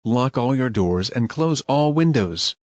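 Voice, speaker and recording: A man playing a grim emergency alert announcer, reading scripted warnings in a formal, measured way. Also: mid-range voice, animated, a little mellow, no room sound, highs cut off, lightly acted. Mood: grave. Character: grim emergency alert announcer, dutiful, irritable when crossed. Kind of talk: scripted emergency broadcast narration.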